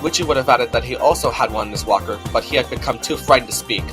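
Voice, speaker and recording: A man's voice: medium pitch, fast talker, over music. A man giving scripted narration of a storybook as its narrator.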